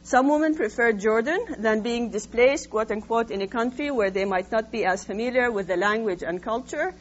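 A woman researcher presenting her findings in an academic talk, explaining in a measured way with frequent hesitant pauses. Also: fairly high pitch. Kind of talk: academic lecture, semi-scripted. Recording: dull lo-fi sound with faint hum.

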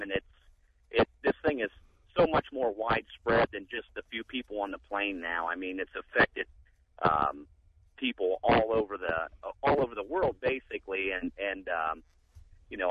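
A man calling in to a radio show, speaking haltingly with many fillers. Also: on the low side, highs cut off. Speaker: caller. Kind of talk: call-in radio talk.

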